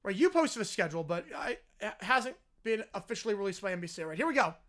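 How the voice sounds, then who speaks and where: A man hosting a live sports reaction stream, chatting casually and answering viewers, his voice hoarse and worn out. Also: high voice, very animated, quick pace, fairly bright tone. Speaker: livestream host.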